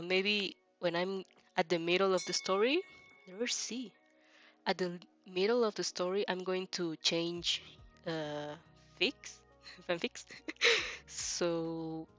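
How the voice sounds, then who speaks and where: A woman's voice: fairly low pitch, fairly bright tone. Leisurely female streamer over background music. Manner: hesitant, searching for words, giggly. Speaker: streamer hosting a fanfic reaction channel. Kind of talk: casual livestream chat. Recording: music playing behind the voice.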